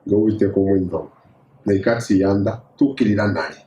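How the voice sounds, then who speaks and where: A man giving a measured formal address at a gathering. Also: lowish voice, mellow, a little hiss or hum. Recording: echoey, dull lo-fi sound.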